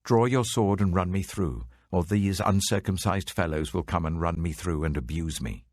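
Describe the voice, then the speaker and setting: A man's voice: low-pitched, moderate pace, darkish tone, no room sound, studio quality. A man voicing a wounded, desperate ancient king giving orders in a dramatic scripture reading, urgent and commanding.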